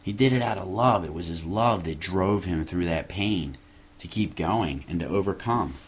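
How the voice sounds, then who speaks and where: A man with a very low, deep voice preaches an earnest evangelistic message. He exhorts the listener directly and lifts his voice on the key lines. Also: normal speed, slightly rough. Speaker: show host preaching. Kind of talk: evangelistic sermon.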